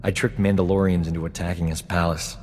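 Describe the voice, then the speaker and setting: An actor playing a cocky, flirtatious, boastful rogue doctor in a sci-fi video game, glib and smooth-talking. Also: male voice, sits low, flat delivery, fast, a little mellow, big-room sound, a little hiss or hum, close to an everyday voice. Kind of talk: video game dialogue acting.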